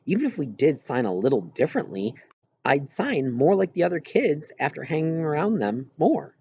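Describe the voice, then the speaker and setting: A man's voice: lowish voice, darkish tone, husky, no room sound, muffled recording. A man reading a first-person story as an audiobook narrator.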